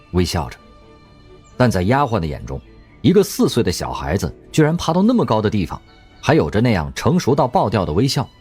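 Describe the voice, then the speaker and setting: A man narrates an audio drama with a low, slightly husky voice. His delivery is wry and expressive, swinging widely in pitch as he tells the story. Soft music plays faintly behind him. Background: faint background music.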